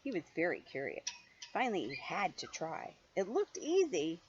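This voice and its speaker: Expressive grandmotherly woman reading a storybook. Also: fairly low pitch, normal speed. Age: middle-aged or older. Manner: playful, expressive, chuckling asides to a child. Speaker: grandmother reading a picture book to a young child. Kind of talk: animated storytime read-aloud with chatty asides. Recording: dull lo-fi home recording, faint noise.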